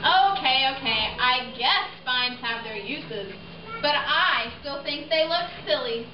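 A bookish young hermit crab played by a woman talks in a high, unhurried voice with teasing sarcasm. It is a puppet show, caught from a distance in an echoey room. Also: lively intonation, background noise. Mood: grudging. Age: young adult. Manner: sarcastic, exclamatory. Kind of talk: children's educational puppet show acting. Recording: echoey room, distant mic, muffled sound.

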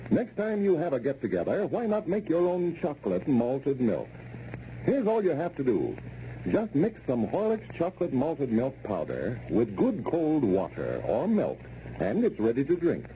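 An American man announcing in polished 1930s radio style, reading a sponsor's message with a measured sales delivery. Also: medium pitch, huge pitch swings, dark tone. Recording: dull, noisy old radio recording.

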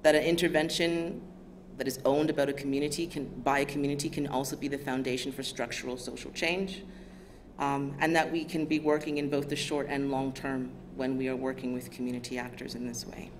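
An American woman with a smooth, low voice, giving an academic lecture in a measured, thoughtful way. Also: steady tone. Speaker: guest lecturer. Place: lecture theatre stage. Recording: lecture hall audio with background noise.

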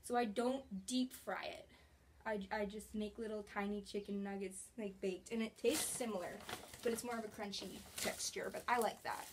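A woman's voice: medium pitch, steady tone, normal speed, slight background noise. A young woman casually chatting through a grocery haul in her small apartment, bubbly and gesturing as she talks, recorded on a camera a few feet away. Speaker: vlogger. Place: small studio apartment bedroom, indoors.

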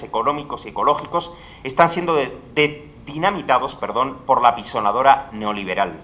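Man, middle-aged, clear bright voice, formal lecture, faint rumble behind, table mic. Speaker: conference speaker. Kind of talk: formal academic lecture read aloud. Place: large legislative hall, indoors. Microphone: table microphone at a panel.

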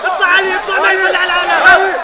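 Levantine guy yelling orders really fast, worked up, crowd noise, dull phone audio. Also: very high-pitched, completely flat delivery. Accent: Levantine Arabic. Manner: agitated, repetitive. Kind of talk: shouting orders to bystanders. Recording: muffled, noisy outdoor phone recording with crowd.

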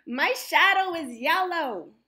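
A woman reading the part of a brave, openhearted schoolchild in a storybook, speaking up loudly and emphatically. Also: extremely high pitch, animated, takes it slowly, full character voice. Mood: brave, proud. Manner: loud, declarative, emphatic.

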